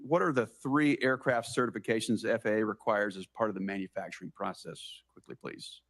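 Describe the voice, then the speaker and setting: Brisk American senator, fairly low voice. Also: male voice, fairly even intonation, fairly bright tone. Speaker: senator questioning a nominee. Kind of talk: formal hearing questioning.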